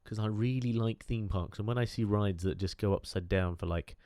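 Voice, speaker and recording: A man's voice: on the low side, fairly quick, dark tone, no room sound. A man with a cold, nasal and chatty, talking over gameplay with lots of fillers. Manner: chatty, enthusiastic, rambling with fillers. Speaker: gaming YouTuber. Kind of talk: casual game commentary.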